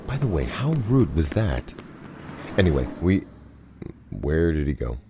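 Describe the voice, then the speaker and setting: An actor playing a befuddled, grumbling civilian husband in an audio drama, given to complaining. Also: male voice, big pitch swings, a little slow, gravelly, full character voice. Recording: noisy street ambience, vehicle rumble.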